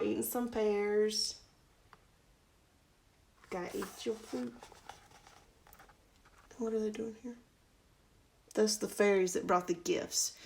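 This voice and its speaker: Female storyteller for a children's book live stream, medium pitch, informal and chatty. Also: vocal fry, slight background noise. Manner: chatty asides to viewers. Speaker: read-aloud storyteller.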